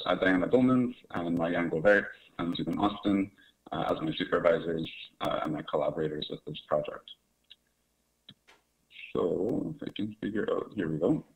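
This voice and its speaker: Deep male presenter from Canada on a webcam call, talking through slides with many fillers. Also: low-quality recording. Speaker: academic presenter. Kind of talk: research presentation over video call. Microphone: webcam on video call.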